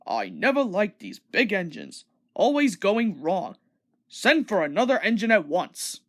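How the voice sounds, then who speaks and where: A woman's voice: medium pitch, big pitch swings, unhurried. Lady doing a stuffy grumpy railway boss man in a kids story, bossy, pompous, up-and-down delivery. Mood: disgruntled. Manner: pompous, commanding. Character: stern, grumpy fat male railway director.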